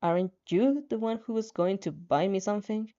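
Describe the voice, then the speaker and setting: A woman voicing an aloof, weary teenage boy who talks bluntly, flatly and curtly. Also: mid-range voice, moderate pace, highs cut off, lightly acted. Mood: cold.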